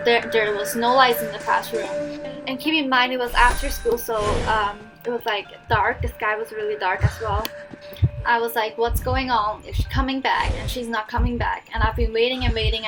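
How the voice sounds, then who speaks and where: Young woman gamer, Cantonese accent, slightly high voice, rambling storytime over game music. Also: low-quality recording. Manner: chatty, with fillers and restarts. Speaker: gaming vlogger. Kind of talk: casual storytime over gameplay.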